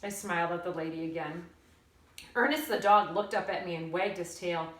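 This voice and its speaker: A woman teacher reading a story aloud in an expressive storytelling style. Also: on the low side, moderate pace. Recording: roomy echo, distant mic.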